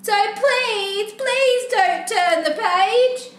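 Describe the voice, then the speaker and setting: A woman voicing a cranky, pleading male storybook character with melodramatic, drawn-out exclamations. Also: extremely high pitch, pitched above the performer's own voice, exaggerated voice. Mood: desperate begging. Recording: roomy echo, faint background noise.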